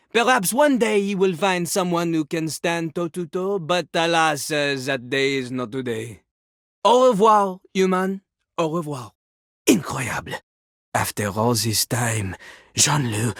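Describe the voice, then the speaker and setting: An actor playing a boastful French talking toilet in a video game, a pompous, theatrical rapper with a French accent. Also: male voice, a little high, a bit bright, no room sound, clean studio sound, full character voice. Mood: condescending, then solemn respect. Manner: pompous, theatrical, refers to himself in third person. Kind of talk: video game character acting.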